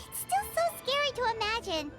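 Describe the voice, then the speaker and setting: A woman voicing a tiny excitable fairy companion in a video game, bubbly and chatty. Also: very high voice, a little slow, full character voice. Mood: worried. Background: soft game music.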